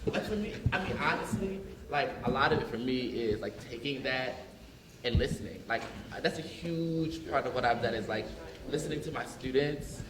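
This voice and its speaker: A man from North Philadelphia speaking as an educator on a panel discussion, talking in an animated way with many fillers. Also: medium pitch, normal speed, reverberant, background noise. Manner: animated, frequent fillers like "like" and "you know what I mean". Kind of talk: panel discussion, casual conversational. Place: large room with audience.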